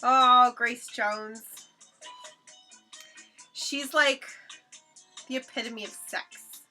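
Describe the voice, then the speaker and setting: A woman's voice: fairly high pitch, fairly even intonation, drawn-out words, over music. A young woman hosting a painting livestream, chatting casually with viewers and reading out their comments, swearing freely and laughing often; her first language is Hebrew. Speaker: livestream host. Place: home studio while painting.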